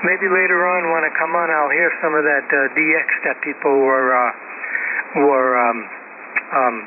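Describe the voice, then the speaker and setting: Older man on crackly shortwave radio. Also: on the high side. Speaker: amateur radio operator. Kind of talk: casual radio chat. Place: received over shortwave radio. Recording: noisy, narrow-band shortwave ham radio audio.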